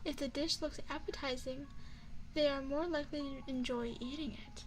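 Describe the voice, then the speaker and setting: A high, feminine voice belongs to a courtly vampire chef acted by a woman in an ASMR roleplay. She speaks with formal, refined poise and a teasing, seductive, quietly predatory air. The voice sits close to the microphone, with faint music underneath. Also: steady tone, moderate pace, low-quality recording. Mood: gracious, poised. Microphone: close binaural microphone.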